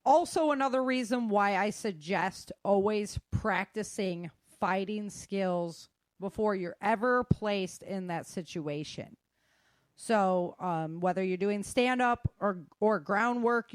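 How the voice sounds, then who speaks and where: A woman's voice: medium pitch, measured pace, no echo. A middle-aged woman talking casually and frankly, giving emphatic, informal advice as an instructor. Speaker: self-defense instructor and guest.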